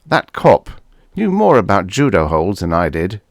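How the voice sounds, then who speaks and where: A man's voice: sits low, wildly swooping pitch, a little slow, no echo, studio quality, voice pushed up, exaggerated voice. A man voicing a desperate, cynical young widower in a science fiction audiobook, insistent and pleading. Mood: defensive.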